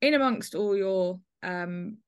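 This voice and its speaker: A woman's voice: medium pitch, takes it slowly, clean tone, no room sound, clean studio sound. A woman hosting a conversational interview, speaking in an effusive, complimentary way. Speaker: podcast host interviewing a playwright.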